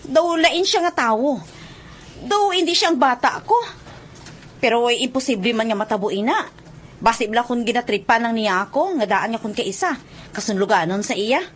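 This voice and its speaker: A woman's voice: a little high, lively intonation, moderate pace, husky. A woman acting in a radio drama as a fussing, chatty village mother worried about her son. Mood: puzzled worry. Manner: chatty, fretful, hesitating.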